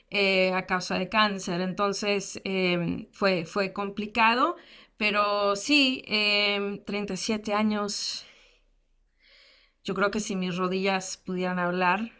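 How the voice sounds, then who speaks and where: A woman's voice: mid-range voice, bright, forceful tone. Woman, 30s, grew up in the us, guest talk about her life, candid, lots of ums, jokes at herself. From United States. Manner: candid, self-deprecating humor, many fillers. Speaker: guest speaker. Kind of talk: personal testimony in a lecture.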